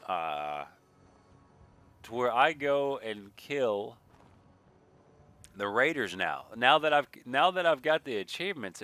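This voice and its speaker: A man giving casual, rambling let's play commentary, thinking aloud at an unhurried pace, slightly nasal with a mild sniffle. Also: mid-range voice, bright, forceful tone, no room sound. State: mild sniffle from a recent cold. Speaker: gaming video creator, player.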